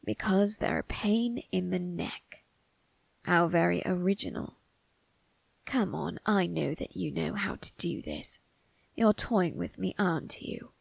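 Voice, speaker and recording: An eerie, ageless male undertaker, acted by a woman speaks in an ASMR roleplay. The voice is husky and breathy, crackling heavily with creak. The phrasing is formal and slyly amused, needling the listener with soft tag questions. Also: on the low side, no echo, full character voice. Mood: dry, suspicious. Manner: slow, formal, teasing delivery with drawn-out tag questions.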